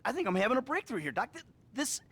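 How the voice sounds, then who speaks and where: A man's voice: very high-pitched, sing-song, quick pace, sharp tone, breathy edge, full character voice. An actor playing a troubled middle-aged American criminal in a video game, rambling and profane as he confides in his therapist. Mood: agitated, distressed. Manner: profane, rambling, agitated, sighing. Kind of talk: acted video game cutscene dialogue.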